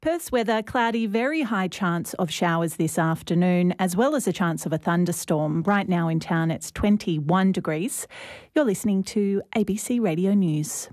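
A woman's voice: fairly low pitch, normal speed, a little mellow, no room sound. Woman reading a radio news bulletin, dry studio. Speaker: news presenter.

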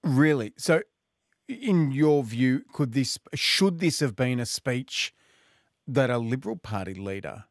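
A male talk radio host, questioning guests and taking calls in a brisk, direct way. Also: mid-range voice, lively intonation, no echo, studio quality.

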